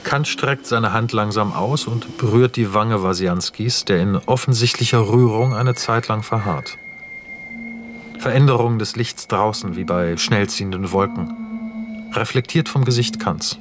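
Sober, low-voiced male radio-play narrator. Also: a little slow, noisy background. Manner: sober, precise, matter-of-fact. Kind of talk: scripted narration of stage directions in a radio play. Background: music.

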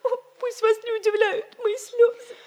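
A woman's voice: very high-pitched, flat delivery, moderate pace, warm tone. An actress playing an emotional, gushing woman in a Soviet radio comedy. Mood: tearful, gushing.

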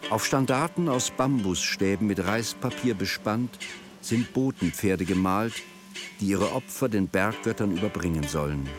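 A man's voice: on the low side, normal speed, over music. A man reading scripted narration for a radio drama.

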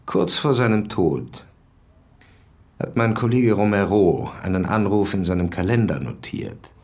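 A man playing a controlled, probing police commissioner in a radio drama, measured, formal and authoritative. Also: on the low side, expressive, a little mellow. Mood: polite, probing. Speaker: lead actor in a crime radio drama.

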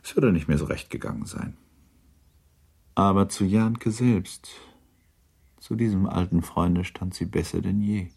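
Male narrator, extremely low voice, dark mellow tone, literary storytelling, restrained, measured. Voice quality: husky, mellow. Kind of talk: literary scripted narration for radio drama.

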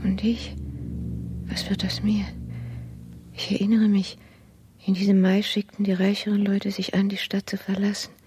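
A woman playing an anxious, humble old maidservant of 59 in a radio play, with a breathy, half-whispered, brooding delivery. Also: medium pitch, completely flat delivery, moderate pace, pauses often, a little mellow, faint music behind, natural voice. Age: older. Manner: subdued, repetitive, brooding. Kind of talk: 1960s radio play monologue.

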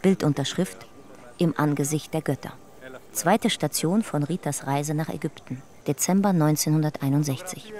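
A man's voice: on the high side, moderate pace, mellow, lightly acted. A man acting as a terse narrator in a radio play, reading clipped, factual documentary-style notes. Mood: factual, wry.

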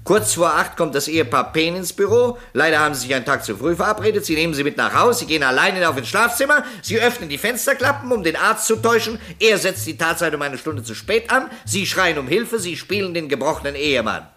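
A man's voice: a little high, big pitch swings, fast, hardly any pauses, sharp tone. A man playing a shrewd, methodical police detective in a German crime radio play, speaking precisely and insistently with an accusatory edge. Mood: methodical, pressing. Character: shrewd, methodical male police detective confronting a murder suspect.

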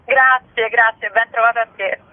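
A woman's voice: fairly high pitch, steady tone, normal speed, no echo. A woman speaking spontaneously as a festival curator in an interview, sometimes searching for words mid-phrase, over a telephone. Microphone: telephone call. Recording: narrow, tinny telephone line.

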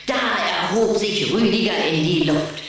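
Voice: mid-range voice, a little slow, fairly bright tone. An actor playing a sly, boastful young boy vampire in a children's audio drama, pompous, bossy and teasing. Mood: pompous, declaiming. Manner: pompous, grandly declaiming, teasing. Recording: very echoey, distant, faint noise.

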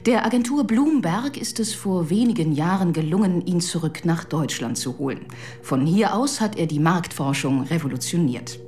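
Low-voiced formal female presenter played by an actress. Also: expressive, moderate pace, a little mellow, close to an everyday voice. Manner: formal, composed. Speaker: presenter introducing a guest. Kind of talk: scripted presentation in radio drama.

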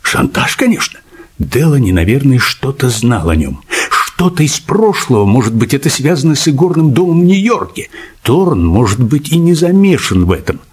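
A man's voice: medium pitch, sing-song, normal speed, slightly rough. An actor playing a confident male journalist in a radio play, reasoning aloud emphatically with rhetorical questions. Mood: confident, insistent. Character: confident male journalist laying out his crime theory. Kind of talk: Russian radio play dialogue.

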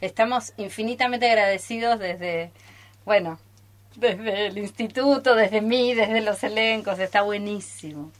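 A woman's voice: medium pitch, unhurried. A woman with an Argentine accent talks casually and enthusiastically on live radio as a theatre teacher visiting the studio. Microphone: studio microphone.

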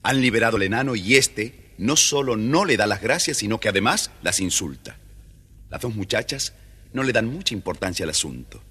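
Low, bright-toned male storybook narrator. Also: animated, moderate pace, husky. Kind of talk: scripted children's story narration. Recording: faintly noisy old storybook record.

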